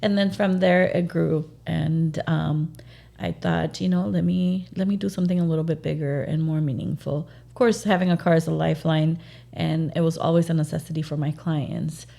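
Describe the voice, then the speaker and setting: Low, gravelly-voiced woman realtor. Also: steady tone, dark tone. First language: Spanish. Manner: earnest, halting with frequent fillers. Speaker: realtor, interview guest. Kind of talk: casual interview conversation.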